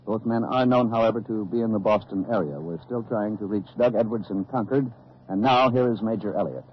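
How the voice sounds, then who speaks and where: Man as formal 1940s radio anchor, composed, mid-pitched, quick delivery, muffled vintage sound. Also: close to an everyday voice. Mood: composed, businesslike. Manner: measured, formal announcing. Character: composed male New York radio news anchor. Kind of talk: 1940s radio acting, formal news bulletin. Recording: dull old radio recording, faint noise.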